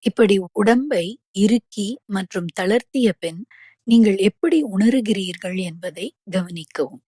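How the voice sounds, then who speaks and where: Slow, husky woman guiding relaxation. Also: medium pitch, no room sound. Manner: calm, measured, unhurried instructions with pauses. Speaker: yoga nidra meditation guide. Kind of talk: guided relaxation instruction.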